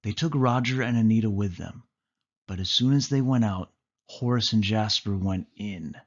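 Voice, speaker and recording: A man reading a children's story aloud as storyteller, with expressive narration. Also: fairly low pitch, normal speed, highs cut off.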